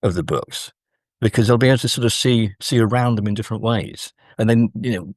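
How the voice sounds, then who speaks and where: Husky man, academic guest. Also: on the low side, dry recording, studio quality. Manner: measured, hesitant, scholarly. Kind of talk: thoughtful literary interview discussion.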